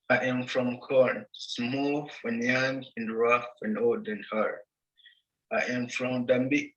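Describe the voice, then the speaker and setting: A man from Uganda reading his own poem aloud in a slow, deliberate recitation. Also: medium pitch, sharp tone, low-quality recording. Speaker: student poet. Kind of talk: poetry reading.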